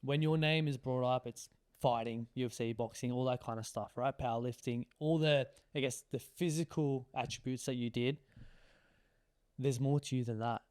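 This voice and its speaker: A man's voice: mid-range voice, fairly even intonation, normal speed, darkish tone, smooth. A man hosting a podcast, asking questions in a friendly, conversational way. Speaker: podcast host. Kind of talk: casual interview.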